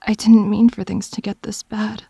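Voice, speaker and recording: A woman's voice: mid-range voice, flat delivery, normal speed, gravelly, warm tone, breathy edge, dry recording, clean studio sound. A woman playing a wounded, weary girlfriend in an audio drama, speaking hesitantly with a fragile voice. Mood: sad, regretful.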